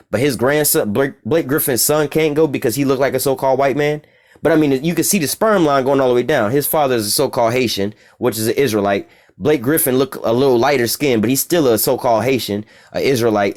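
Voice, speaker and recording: A man's voice: medium pitch, darkish tone. A man from the United States with an American accent, giving an informal, profane bible teaching and keeps asking "all right?".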